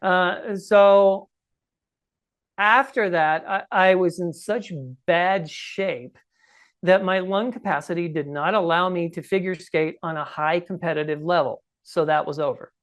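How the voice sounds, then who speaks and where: A man's voice: sits high, steady tone, a little slow, fairly bright tone. A man in his sixties with a scratchy voice, telling his own story conversationally and emphatically as an interview guest. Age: 60s. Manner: emphatic, often checks in with "okay?" and "right?". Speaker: podcast guest recounting personal experience. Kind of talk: conversational first-person storytelling.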